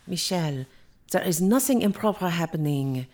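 Lively, defensive young wife. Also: female voice, lowish voice, measured pace. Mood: indignant. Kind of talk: audio drama acting.

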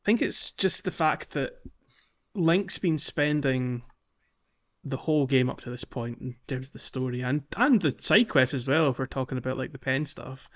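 A man hosting a casual podcast about a game, talking in a halting, thoughtful way. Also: mid-range voice, lively intonation, a bit bright. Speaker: podcast host. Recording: slightly dull, muffled audio.

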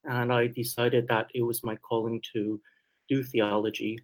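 A man from Taiwan with a slight Taiwanese Mandarin accent, answering interview questions hesitantly with many pauses and restarts. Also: medium pitch, flat delivery. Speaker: guest theologian.

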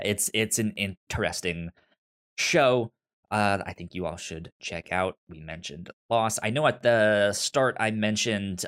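A man co-hosting a podcast in casual chat, with a halting, informal delivery and a pronounced stutter that repeats sounds and syllables. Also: fairly low pitch, fairly bright tone. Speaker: podcast co-host. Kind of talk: casual chat recommending and discussing TV shows.

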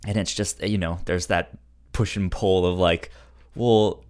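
A podcast co-host chatting casually about a series, in a halting way that often repeats words and starts over. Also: low voice, fairly even intonation, a little mellow.

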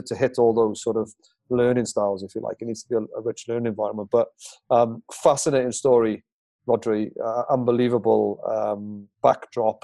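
A man's voice: fairly low pitch, mellow, no room sound. A man hosting a podcast, chatting in an upbeat, encouraging way. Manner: upbeat, encouraging, quick talker. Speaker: podcast host. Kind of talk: casual interview chat.

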